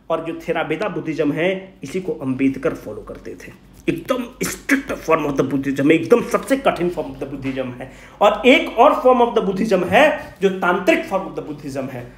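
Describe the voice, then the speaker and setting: A man teaching an informal exam-coaching class, emphatic and repetitive, posing questions he answers himself and mixing in English phrases. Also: high-pitched. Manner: emphatic, repeats key points; mixes English phrases into Hindi. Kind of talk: informal history lecture with rhetorical questions. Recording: echoey room.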